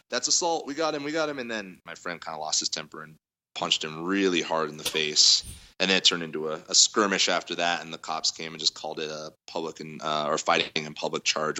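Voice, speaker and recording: A man with a very deep but strikingly bright, loud voice talks through a story in a casual interview. He rambles, circling back with frequent fillers and restarts. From Denver area. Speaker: guest musician.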